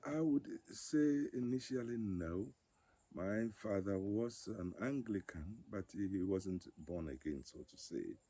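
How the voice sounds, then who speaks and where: Deep, husky older man speaking slowly. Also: lively intonation, mellow, dry recording. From Ghana. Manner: measured, with frequent pauses. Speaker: regional ministry director. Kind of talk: interview testimony.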